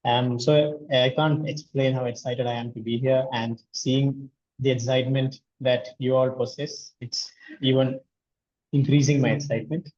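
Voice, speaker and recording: A young man from India, a medical student presenting a case in an earnest, measured way over a video call. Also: medium pitch, steady tone, a little mellow, lo-fi sound.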